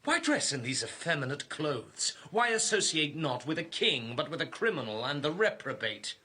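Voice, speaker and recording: Proud, husky young tyrant king. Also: fairly high pitch, big pitch swings, moderate pace, pushed and bright, exaggerated voice. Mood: disdainful. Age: young adult. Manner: imperious, declamatory. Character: proud, harsh, contemptuous young king, hostile to religion. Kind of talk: intense classical radio drama acting.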